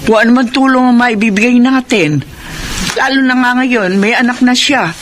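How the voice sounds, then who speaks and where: An actress playing an exasperated, sympathetic village elder in a Filipino radio drama, frank and admonishing. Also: female voice, fairly high pitch, moderate pace, close to an everyday voice. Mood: exasperated, advising. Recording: radio drama with clear background noise.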